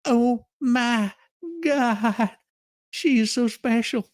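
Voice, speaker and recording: A man's voice: very high voice, extremely slow. A man giving a comedic dramatic reading of a legal document, theatrical and folksy, with mocking asides. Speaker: streamer performing a dramatic reading.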